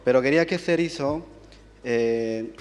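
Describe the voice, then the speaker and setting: A man with a Peruvian accent, a chef answering questions at a cooking contest in a soft, halting way with many fillers. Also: mid-range voice, smooth, big-room sound, soft background music. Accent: Peruvian Spanish. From Peru. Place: auditorium stage.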